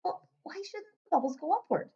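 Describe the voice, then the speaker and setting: A woman's voice: very high voice, animated, fairly quick, muffled recording, pitched above the performer's own voice. A woman voicing a polite, curious and patient little girl in a children's storybook, questioning and reasonable. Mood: puzzled. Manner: questioning, reasonable, gently emphatic. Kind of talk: children's storybook read-aloud.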